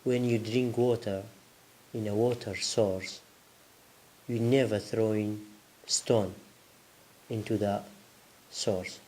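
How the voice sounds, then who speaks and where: A man whose first language is Kurdish, a community group leader, speaking earnestly in halting English in an interview. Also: fairly low pitch, fairly even intonation, a little mellow, low-quality recording. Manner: earnest, halting non-native phrasing.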